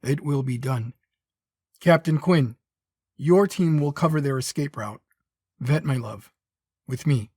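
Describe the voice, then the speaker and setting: Casting: male actor for devoted warrior son, formal and husky, mid-range, close dry sound. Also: medium pitch, a little slow, long pauses, dark tone, no echo. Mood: respectful. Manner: formal, deferential.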